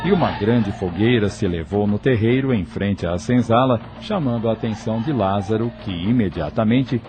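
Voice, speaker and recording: A man's voice: lowish voice, normal speed, music in the background. A man reading scripted narration for an audio novel as its narrator.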